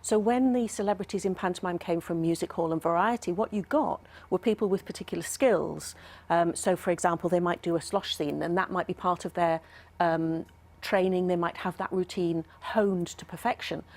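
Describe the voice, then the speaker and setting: A woman with a fairly low voice speaks as an academic guest in a studio interview. She explains in a thoughtful, keen way, pausing to find her words. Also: darkish tone. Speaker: academic expert guest, professor.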